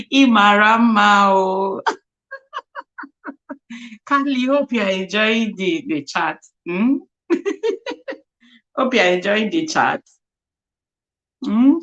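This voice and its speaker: A woman's voice: medium pitch, sing-song, drawn-out words, long pauses, fairly bright tone, highs cut off. A young woman from Nigeria with a Nigerian accent and Igbo as her first language, hosting a casual livestream chat, laughing often and repeating words as she sounds them out. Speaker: livestream host.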